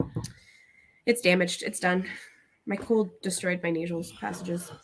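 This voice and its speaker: A woman's voice: fairly low pitch, steady tone, moderate pace, slight background noise, rough recording. A woman panelist with a blocked, congested nose, chatting casually and laughing easily. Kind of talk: casual chat.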